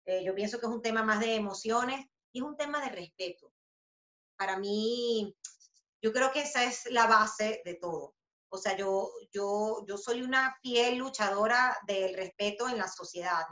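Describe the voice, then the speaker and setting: Bright-voiced Venezuelan woman on a video call. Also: on the high side. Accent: Venezuelan Spanish. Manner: animated, gestures a lot, many fillers and restarts. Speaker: interviewee, author and journalist. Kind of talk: casual interview answers. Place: home room with a book poster. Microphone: video call webcam. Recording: roomy, slightly dull webcam audio.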